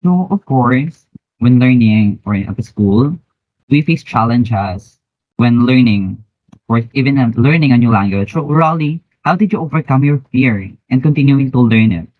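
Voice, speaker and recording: A man with a Filipino accent hosts an educational podcast in a mid-pitched voice. His delivery is deliberate and formal, partly scripted, and the recording is close and dry. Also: expressive, darkish tone, no echo, rough recording. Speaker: podcast host.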